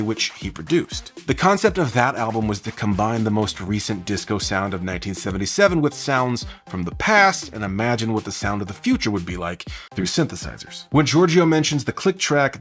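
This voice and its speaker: A man's voice: lowish voice, very animated, a little fast, few pauses, fairly bright tone, soft background music. A man giving an enthusiastic, conversational video essay commentary, explaining things with emphasis as host.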